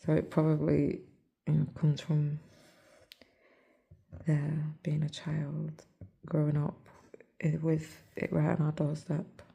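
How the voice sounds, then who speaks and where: An English woman with a hoarse, rough voice, talking softly and almost in a whisper into her phone while she stitches. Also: sits low, completely flat delivery, slow, long pauses, muffled recording. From England. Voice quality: soft, hushed, near-whispered voice. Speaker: cross-stitch vlogger. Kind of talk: casual chat while stitching.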